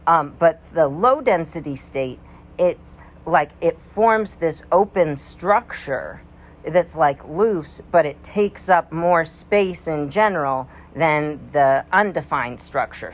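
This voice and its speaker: A man's voice: a little high, takes it slowly. A man in his thirties talking through a science topic in a casual monologue, rambling and hesitant with many fillers and restarts, but wondering and enthusiastic. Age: 30s. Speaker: vlogger.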